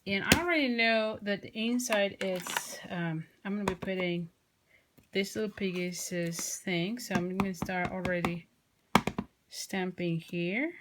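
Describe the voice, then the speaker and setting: A woman with a Mexican Spanish accent talks through a craft project in casual, enthusiastic chat. She fills her speech with ums and restarts, and her voice sits at a middling pitch. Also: measured pace, heavy background noise. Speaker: crafting video host.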